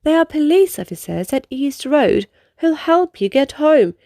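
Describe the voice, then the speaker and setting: A woman's voice: high-pitched, sing-song, unhurried, dark tone, dry recording, exaggerated voice. A woman voicing a sensible, helpful female bear from a children's storybook, explaining things patiently. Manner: patient, instructive.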